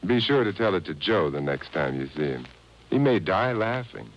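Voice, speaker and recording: An actor playing a suave, sardonic amateur sleuth in a 1950s radio detective drama, dry and urbane. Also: male voice, low voice, big pitch swings, normal speed, faint music behind. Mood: dry, probing. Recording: dull old radio broadcast on cassette.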